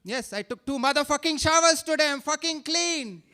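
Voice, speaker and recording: A man's voice: very high voice, normal speed, pushed and bright. A man with an Indian accent doing a self-mocking stand-up set on a theater stage, with playful asides to the crowd. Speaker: stand-up comedian.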